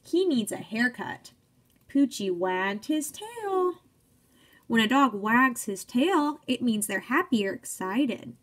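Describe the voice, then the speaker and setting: A woman's voice: on the high side, big pitch swings, slow, fairly bright tone. A young woman reading a picture book aloud to children like a teacher, encouraging and speaking in simple phrases. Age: young adult.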